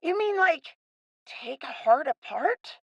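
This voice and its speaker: Radio play young boy: very high, lively range, plucky and loyal, close dry sound. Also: takes it slowly, no room sound, muffled recording, exaggerated voice. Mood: upset, defiant. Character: plucky, suspicious, loyal little boy castaway.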